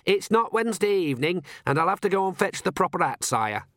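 A man voicing a nervous, deferential royal servant with a halting, apologetic manner, as in a storybook. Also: high voice, very animated, a bit bright, no room sound, clean studio sound, pitched above the performer's own voice.

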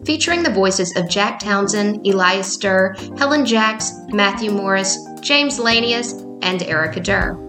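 A female announcer delivers scripted credits at a medium pitch. The recording is echoey, and music plays prominently behind her voice.

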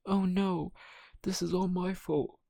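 A man's voice: high-pitched, little pitch movement, slow, vocal fry, mellow, dry recording, clean studio sound, voice pushed up, full character voice. A man voicing a naive, earnest young wooden puppet boy in a children's bedtime story, often pleading. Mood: distressed.

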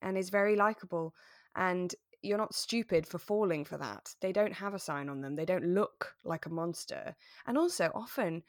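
A woman chatting casually as co-host of a relaxed podcast. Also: fairly low pitch, moderate pace, few pauses, dry recording, studio quality. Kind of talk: relaxed casual chat about a radio drama.

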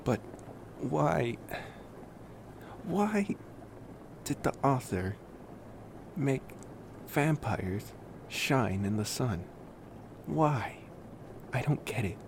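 A man playing a laid-back, wry college-age vampire in an intimate ASMR roleplay, rambling casually and hesitantly with sighs and pauses. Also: mid-range voice, lively intonation, gravelly, background noise, heavily acted. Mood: baffled, amused.

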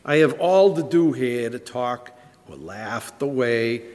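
A man's voice: medium pitch, lively intonation, slow pace, a little mellow. Scheming small-time gangster, male actor, tough-guy slang, live radio drama in a reverberant hall.

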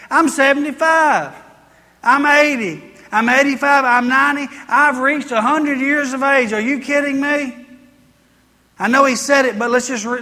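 American lady preaching, sharp forceful voice, keeps repeating to drive it home, big echoey hall. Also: high voice. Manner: emphatic, repetitive, exhorting. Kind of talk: sermon to a congregation. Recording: reverberant hall sound.

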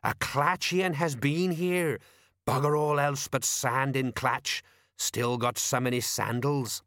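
Storybook police sergeant, read by a man: self-important, slow-witted old hand, colloquial lecturer, raised pitch, dry close recording. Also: animated, a bit bright, no room sound. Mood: pleased, pompous.

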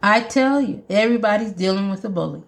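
Gravelly Jamaican woman preaching. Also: mid-range voice, expressive, quick pace. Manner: earnest, emphatic, with restarts. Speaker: podcast host. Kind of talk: preaching podcast monologue.

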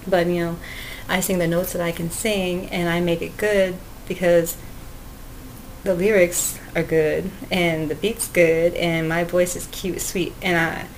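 A woman's voice: fairly low pitch, level tone, unhurried, a little hiss or hum, low-quality recording. A woman hosting her own podcast, talking casually and rambling with lots of fillers and small laughs, in a sweet, cute voice. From Canada. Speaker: podcast host. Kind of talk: casual solo podcast talk.

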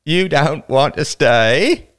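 A man's voice: a little high, sing-song, a little fast, a bit bright, pitched above the performer's own voice. A man voicing a tall older teenage boy who taunts and bullies two girls with a sneering, mocking manner. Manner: sneering, taunting, bursts into bellowing.

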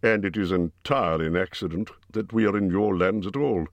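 Man playing an old wizard, low and calm, slyly tactful, dry close sound. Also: brisk, no echo.

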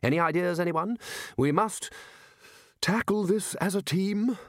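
A man's voice: high-pitched, measured pace, no echo, clean studio sound, pitched above the performer's own voice. A man voicing a pompous, exasperated elderly wizard who runs a university, for a storybook reading. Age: older. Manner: pompous, exasperated, takes charge with hesitant ums. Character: booming, bossy elderly male wizard, head of a university.

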